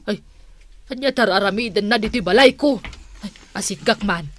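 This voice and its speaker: A woman's voice: medium pitch, normal speed, fairly bright tone, slight background noise, close to an everyday voice. A woman with a Filipino accent playing a suspicious, bossy woman of the house in a comic radio drama. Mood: muttering, suspicious. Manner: bossy, scolding.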